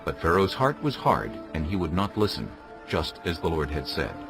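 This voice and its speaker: A man narrating dramatized scripture as a solemn, measured storyteller. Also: sits low, big pitch swings, creaky, over music.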